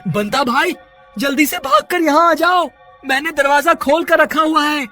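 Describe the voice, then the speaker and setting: Man playing an earnest high-voiced village friend. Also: animated, moderate pace, sharp tone, soft background music, full character voice. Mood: urgent. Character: helpful, anxious village friend, married man. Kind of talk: Hindi horror cartoon character voice.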